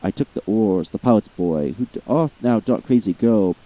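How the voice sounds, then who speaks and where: A man's voice: lowish voice, lively intonation, normal speed, no echo, phone-quality sound. A man giving a theatrical reading of an old narrative poem as voice-acting practice. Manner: theatrical, swooping delivery of verse. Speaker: amateur reader. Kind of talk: dramatic poetry reading.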